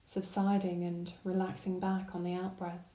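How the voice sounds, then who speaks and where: A woman leads a guided meditation in a dark, mellow voice. Her phrasing is gentle and calm, offering unhurried instructions. The recording is roomy, with audible reflections around her voice. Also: on the low side. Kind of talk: guided body scan meditation narration.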